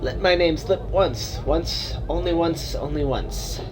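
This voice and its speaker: A man's voice: a little high, takes it slowly, over music, low-quality recording. A man voicing a twitchy, foul-smelling male street storyteller who repeats himself and speaks with inverted word order. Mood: brooding. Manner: broken, repetitive phrasing with inverted word order.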